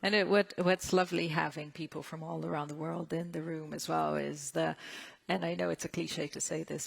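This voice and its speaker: A man's voice: fairly high pitch, creaky, husky. A man speaking off the cuff as a jury member in a panel discussion. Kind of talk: unscripted panel talk.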